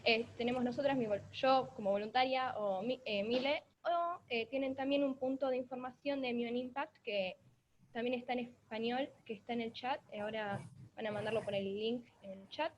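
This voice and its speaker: A woman's voice: a little high, steady tone, normal speed, highs cut off, slight background noise. A young woman from Argentina with an Argentine accent, a volunteer giving announcements over a video call with some hesitation. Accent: Argentine Spanish. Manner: hesitant, fillers.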